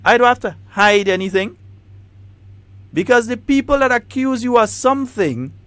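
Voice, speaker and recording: A man's voice: very high voice, expressive, normal speed, fairly bright tone, no echo. A man with a Trinidadian and Grenadian accent, hosting a talk radio show with emphatic, repeated, combative points. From Trinidad and Tobago.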